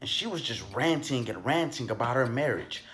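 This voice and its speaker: A man's voice: medium pitch, lively intonation, moderate pace, fairly bright tone, slight background noise, lightly acted. A man playing an indignant, defensive father under suspicion, speaking emphatically in a puppet-show murder mystery. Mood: confiding.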